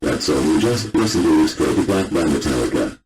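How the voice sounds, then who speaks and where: A man's voice: very deep voice, animated, brisk, a little mellow, poor audio. A man reading a scripted broadcast announcement as a radio announcer. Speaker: radio announcer and emergency alert reader.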